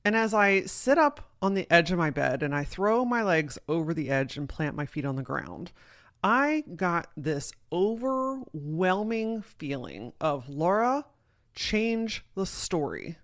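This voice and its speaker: Deep-voiced woman, candid podcast monologue. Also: unhurried. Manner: candid, swears freely, animated storytelling. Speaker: podcast host and keynote speaker. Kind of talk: casual solo podcast monologue.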